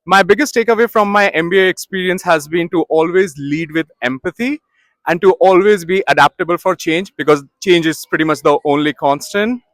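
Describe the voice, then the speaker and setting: A man's voice: high-pitched, a little fast, a bit bright, no room sound. Composed man from India, dry sound. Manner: brief, composed prepared statement. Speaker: MBA classmate.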